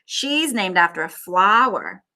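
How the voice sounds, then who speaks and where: A woman's voice: medium pitch, expressive, unhurried, bright, forceful tone. A woman voicing snobbish, teasing little schoolgirls from a storybook in an emphatic, singsong way. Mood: mocking.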